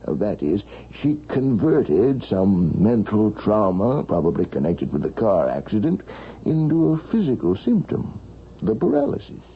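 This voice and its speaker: An actor playing an earnest hospital doctor in a vintage radio drama, explaining things in a deliberate, clinical way. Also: male voice, fairly low pitch, wildly swooping pitch, breathy edge, heavily acted. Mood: explanatory. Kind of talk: 1970s radio drama acting. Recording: tinny, band-limited vintage radio recording.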